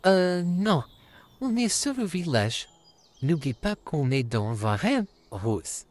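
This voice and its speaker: A man voicing a friendly, courteous male puppet in an amateur comic dub, calmly addressing his friends. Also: on the high side, takes it slowly, heavily acted. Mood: calm, gentle. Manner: calm, courteous, repeatedly addressing listeners as friends.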